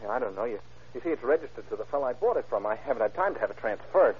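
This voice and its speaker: An actor playing an excitable, flustered male market owner in an old radio crime drama, with a hesitant, animated delivery. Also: medium pitch, very fast, close to an everyday voice. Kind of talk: 1930s radio drama acting. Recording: tinny old radio recording, faint noise.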